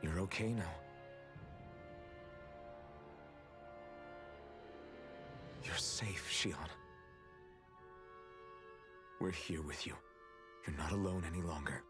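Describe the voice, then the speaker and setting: A man voicing a calm young swordsman in a video game, speaking in a steady, reassuring and level-headed way. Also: sits low, moderate pace, close to an everyday voice. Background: game music.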